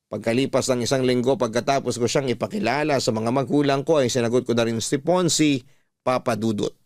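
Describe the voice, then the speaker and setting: A man's voice: medium pitch, no echo, clean studio sound. A man narrating a love story in the first person on radio, reading it in an emotional, earnest way. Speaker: letter reader and narrator.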